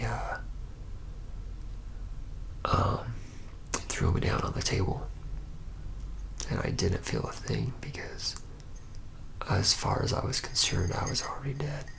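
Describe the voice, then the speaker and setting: A haunted young male vampire, acted out by a man in an ASMR roleplay, recounts his tale reluctantly. He speaks slowly and haltingly in a breathy whisper that breaks into very heavy creak. The delivery is rueful, with heavy pauses. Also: fairly low pitch, a bit bright, full character voice, low-quality recording. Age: young adult.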